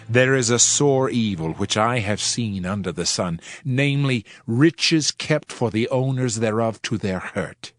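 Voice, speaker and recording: A man giving a dramatized scripture reading with a solemn, measured delivery. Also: mid-range voice. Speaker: scripture reader. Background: faint background music.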